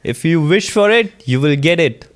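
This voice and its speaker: A man's voice: a little high, animated, normal speed. Young man chatting casually. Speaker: podcast co-host. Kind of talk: casual podcast chat.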